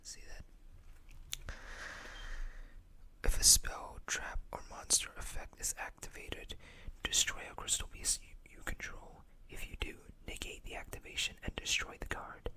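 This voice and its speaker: An ASMR host who speaks entirely in a whisper, with a bright, crisp edge to the breath. The delivery is hushed and deliberate, moving between reading game card text and casual commentary. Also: poor audio.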